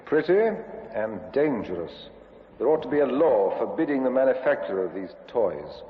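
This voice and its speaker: An actor playing a stern, disapproving police inspector in an old radio drama. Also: male voice, medium pitch, very animated, moderate pace, slight background noise, natural voice. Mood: grave. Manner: grave, disapproving. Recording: tinny echoey old radio recording.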